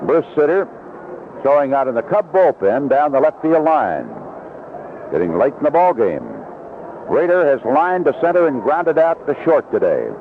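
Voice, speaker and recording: Vintage radio baseball caller: man, animated intonation, descriptive clipped calls, clearly noisy background. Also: mid-range voice. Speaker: baseball play-by-play announcer. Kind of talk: live radio sports commentary. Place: broadcast position at an outdoor ballpark. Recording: narrow-band vintage radio broadcast, noisy.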